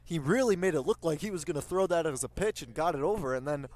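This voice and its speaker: A man's voice: a little high, expressive, fast talker, a little mellow, dry recording. A man giving conversational live analysis as color commentator on a baseball radio broadcast.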